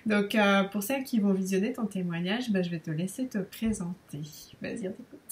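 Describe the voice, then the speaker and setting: A woman's voice: medium pitch, a little fast. A woman business coach interviewing a client in an informal, friendly tone with prompting questions.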